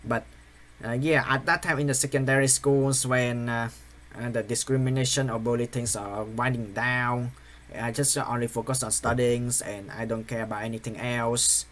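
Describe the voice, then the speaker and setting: A young man from Vietnam with a Vietnamese accent, English not his first language, giving a halting, apologetic personal confession full of fillers and restarts. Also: medium pitch, steady tone, fairly bright tone. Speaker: channel host.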